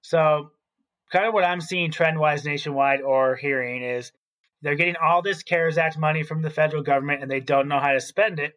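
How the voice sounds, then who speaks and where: A man's voice: fairly high pitch, a bit bright, poor audio. A man co-hosting an education podcast as a school principal, explaining his opinions in casual talk.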